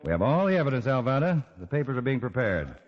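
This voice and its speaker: Wry, commanding counterspy boss, male actor, lively inflection, old-time radio sound. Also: medium pitch, fast, close to an everyday voice. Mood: coolly threatening. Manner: authoritative, pointed, knowing. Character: confident, wry male counterspy chief, coolly threatening. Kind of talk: 1940s radio drama acting. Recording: dull old radio recording, background voices.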